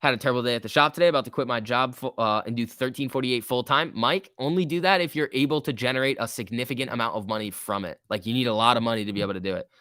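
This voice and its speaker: A man's voice: mid-range voice, very fast, hardly any pauses, bright, forceful tone, smooth, no room sound, clean studio sound. A man in his early twenties hosting a casual trading livestream, chatting informally and joking as he reads and answers viewer comments. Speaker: livestream host.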